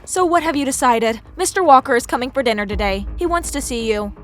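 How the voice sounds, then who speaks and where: An actress playing a spiteful, gloating young villainess, mocking and theatrical, in an audio drama. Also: female voice, high-pitched, lively intonation, brisk, a bit bright, soft background music.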